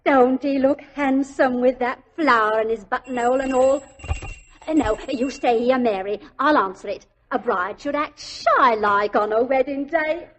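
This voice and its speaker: An actress in a 1940s radio drama playing a brisk, bossy middle-aged mother with a working-class English accent. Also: sits high, a little hiss or hum, natural voice, poor audio. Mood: coaxing. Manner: brisk, chivvying.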